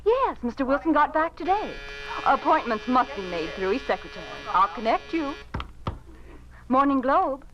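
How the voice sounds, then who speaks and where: A woman acting in a 1950s radio drama as a busy switchboard operator with brisk, singsong, clipped politeness. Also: high-pitched, very animated, background noise. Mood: brisk, polite. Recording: dull old radio recording, overlapping voices.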